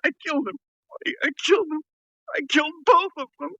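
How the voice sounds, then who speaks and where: A man's voice: extremely high pitch, fast, bright, forceful tone, no room sound, heavily acted. An actor playing a distraught middle-aged male killer in a 1940s radio drama, stammering and repeating himself as he confesses. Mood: broken, confessing. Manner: stammering, repetitive, frantic. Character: broken, raving male killer confessing to murder. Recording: dull, lo-fi vintage radio recording.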